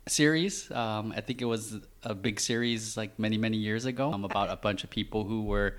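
A man's voice: fairly low pitch, brisk. A middle-aged man from Vietnam, raised in the US, talking casually as a podcast guest with lots of ums and chuckles. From Vietnam, raised in Oregon, US. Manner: relaxed, many ums, chuckles. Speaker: guest, host's father. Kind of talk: casual interview.

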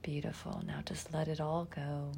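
Female meditation guide, soft and mellow, low register, breathy phrase endings, faint rumble behind. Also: little pitch movement. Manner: soft, soothing, often trailing into breathiness, with long pauses.

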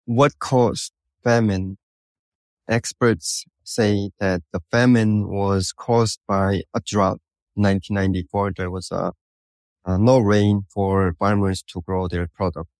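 A man in his thirties from North Korea with a Korean accent, answering interview questions in a halting, thoughtful, explanatory way. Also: on the low side, little pitch movement, darkish tone, no room sound. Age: 30s. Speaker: podcast guest recounting his life.

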